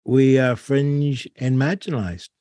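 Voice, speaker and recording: A man's voice: medium pitch, a little slow, warm tone, no room sound, studio quality. A New man hosting an interview, talking casually and wryly, stretching words for emphasis. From New Zealand. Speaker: host.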